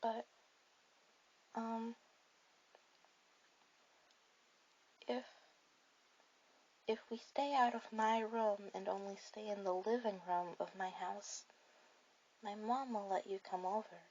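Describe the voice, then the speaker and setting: A woman voicing a shy, sweet, bookish girl on a first date in an ASMR roleplay, speaking softly and hesitantly to the listener. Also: mid-range voice, fairly even intonation, highs cut off. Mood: bashful, hesitant. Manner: soft, hesitant, halting delivery.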